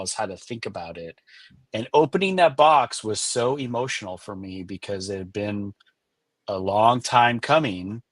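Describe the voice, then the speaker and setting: A man's voice: medium pitch, dry recording. A man whose first language is Spanish, a guest author on a webinar interview, speaking in a reflective, earnest way. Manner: reflective and earnest, with soft affirming murmurs.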